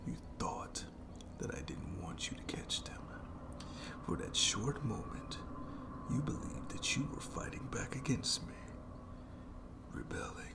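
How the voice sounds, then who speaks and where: A performer voicing a sadistic, gloating monster in a creaky whisper for horror ASMR, with slow, theatrical, mockingly polite menace. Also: deep voice, exaggerated voice. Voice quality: breathy, heavily creaky whispery voice. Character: gloating sadistic monster jailer lurking under the bed. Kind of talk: scripted horror ASMR roleplay.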